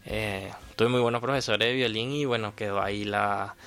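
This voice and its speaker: A man's voice: lowish voice, steady tone, normal speed, a bit bright, smooth. A man in his thirties with a Venezuelan Spanish accent, answering conversationally as an interview guest. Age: 30s. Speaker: interview guest, horn player.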